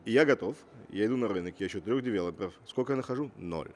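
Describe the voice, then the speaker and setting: A man's voice: on the low side, lively intonation, racing, a little hiss or hum. A man who grew up in Russia, speaking as a guest entrepreneur in an interview, assertive and fond of rhetorical questions. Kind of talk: casual interview talk.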